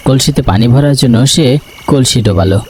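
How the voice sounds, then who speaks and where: A man's voice: mid-range voice, fairly quick, a little hiss or hum. A man reading scripted narration for a horror cartoon story. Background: music.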